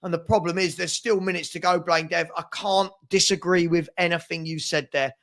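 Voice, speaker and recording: A British man hosting a live football fan stream with a hoarse voice, exasperated and emphatic, repeating himself as he reacts and reads comments. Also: fairly high pitch, fairly even intonation, a little fast, a bit bright. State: hoarse, losing his voice. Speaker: host of football watchalong stream.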